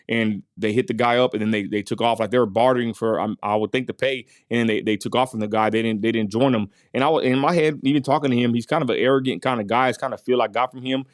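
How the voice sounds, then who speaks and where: A man's voice: medium pitch, fairly even intonation, brisk, few pauses, no room sound, studio quality. A man from West Texas narrating his street interview in a casual voice-over, full of fillers and asides to viewers. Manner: folksy, addresses viewers as y'all, many fillers.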